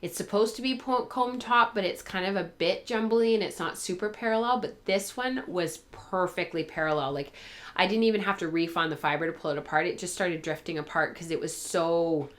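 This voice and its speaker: A woman with a fairly low voice talks casually to her viewers as a craft show host. She rambles informally and thinks aloud, sounding like she is getting over a cold with a tiring voice. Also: fairly quick, fairly bright tone.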